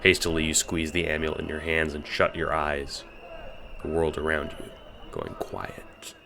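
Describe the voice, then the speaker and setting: A man's voice: a bass voice, little pitch movement, normal speed, vocal fry, over loud music. A man narrating a scripted audio drama in the second person, in storytelling style.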